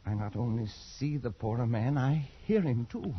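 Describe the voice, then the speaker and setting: Haunted, feverish Englishman, wildly swinging pitch. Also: lively intonation, moderate pace, husky. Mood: haunted, resigned. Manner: agitated, feverish, swinging from brooding to frantic. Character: tormented Englishman haunted by a curse, near madness. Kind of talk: 1940s radio drama acting. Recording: muffled old radio recording.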